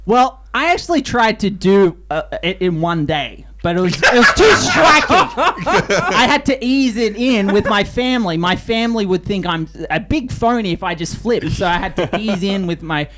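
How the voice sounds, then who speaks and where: A man co-hosting a comedy podcast, making absurd claims in a deadpan way. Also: high voice, moderate pace. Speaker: podcast co-host. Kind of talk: casual comedy podcast banter. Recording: faint background noise.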